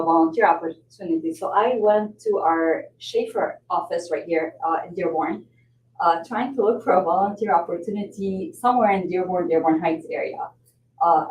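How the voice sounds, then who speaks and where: Woman from Lebanon, lowish voice, measured storytelling, echoey room, distant mic. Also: a little mellow. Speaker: nonprofit development director guest. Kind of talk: podcast interview. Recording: roomy audio, mic at a distance, faint hiss.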